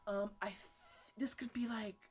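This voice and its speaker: Playful rambling botanist played by a woman, soft music behind. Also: mid-range voice, steady tone, moderate pace, breathy edge, natural voice. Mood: playful, earnest. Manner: rambling, bantering, slangy. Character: playful, teasing woman botanist chatting to sentient plants. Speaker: ASMR roleplayer. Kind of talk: improvised casual ASMR roleplay.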